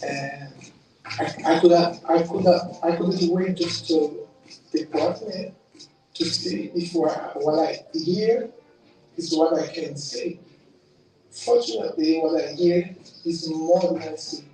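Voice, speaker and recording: Man, thoughtful testimonial, warm low tone, picked up from a distance in a boomy room. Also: slow, faint music behind. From Haiti. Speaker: program participant. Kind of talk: testimonial interview. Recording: very echoey room, distant mic.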